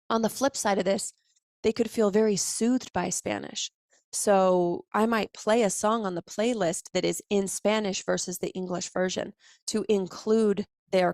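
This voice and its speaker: A woman coach explaining her client intake form in a conversational teaching tone, pausing to correct herself as she scrolls. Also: mid-range voice, no room sound, clean studio sound. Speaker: coach and facilitator. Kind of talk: instructional walkthrough.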